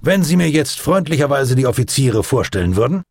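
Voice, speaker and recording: A man's voice: medium pitch, big pitch swings, brisk, darkish tone, slightly rough, dry recording, clean studio sound, voice pushed up. A man voicing a commanding, sardonic navy captain in an audiobook, with an authoritative manner. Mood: formal, commanding.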